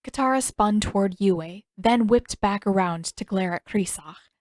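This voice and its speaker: A woman reading scripted fiction as an expressive storytelling narrator. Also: mid-range voice, a little slow, no echo, clean studio sound.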